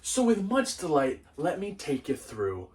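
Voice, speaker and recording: A man's voice: fairly high pitch, measured pace, recorded from a distance. A man giving a casual, comedic movie review with an exaggerated, sarcastic delivery. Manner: animated, exaggerated, sarcastic, swearing. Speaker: video reviewer, host.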